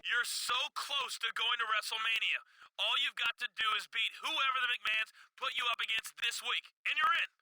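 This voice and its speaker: A woman's voice: on the high side, moderate pace, sharp tone, soft background music, low-quality recording. A woman playing a coaxing, goading backstage wrestling figure in a video game cutscene.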